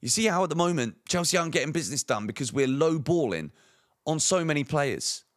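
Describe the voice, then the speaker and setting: Man, hoarse croaky voice, fan channel host, opinionated transfer commentary, emphatic rhetorical questions, slightly higher pitch. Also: big pitch swings, a little fast. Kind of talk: football transfer news commentary.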